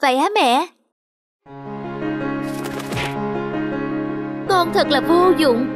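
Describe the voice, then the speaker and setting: Woman voicing a high-pitched, childlike seagull chick. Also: normal speed, over loud music, natural voice. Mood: dejected. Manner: childlike, pleading. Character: young seagull chick, tearful, eager to fly. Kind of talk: cartoon character acting.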